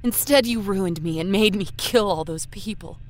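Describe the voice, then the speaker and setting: An actress playing a vengeful, wounded and unhinged killer in an audio horror drama, bitter, intense and accusing. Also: female voice, medium pitch, normal speed, close to an everyday voice.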